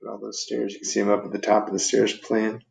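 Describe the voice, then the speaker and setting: A man's voice: on the low side, little pitch movement, fast talker, gravelly, darkish tone, a little hiss or hum, rough recording. A man reading a picture book aloud to children, explaining things and putting questions to the listeners in chatty asides. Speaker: storybook reader.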